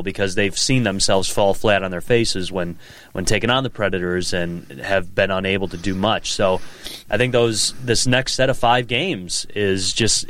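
A man's voice: on the low side, fairly bright tone, dry recording. A man co-hosting a podcast, talking sports in a loose, rambling way and repeating words as he thinks. He sounds stuffed up from a cold. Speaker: podcast co-host. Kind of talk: casual sports chat.

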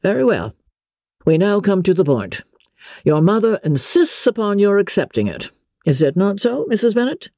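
A reader voicing a calm, teasing middle-aged gentleman father in a deadpan, measured way for a storybook. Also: medium pitch, no echo, clean studio sound, voice pushed up. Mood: calm, mock-serious.

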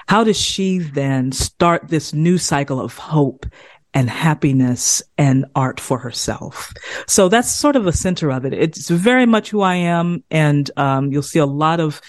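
A woman from South Carolina, a playwright guest talking through her work in a relaxed interview. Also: low voice, no room sound, clean studio sound. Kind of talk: casual interview.

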